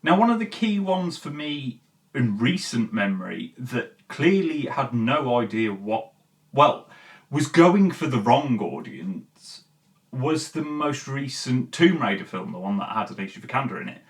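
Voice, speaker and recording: A male-sounding voice with a mid-range pitch, recorded at some distance from the microphone. The host talks informally and gives opinions in a halting, essay-like commentary, leaning hard on key words. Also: big pitch swings. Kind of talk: video essay commentary, casual chat to viewers.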